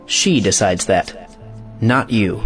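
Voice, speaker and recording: An actor playing a resolute, righteous lover who defies convention, declamatory, in an audio play. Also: male voice, on the low side, takes it slowly, faint music behind. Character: impassioned, righteous male lover defying convention. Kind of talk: audio play acting of an old stage drama.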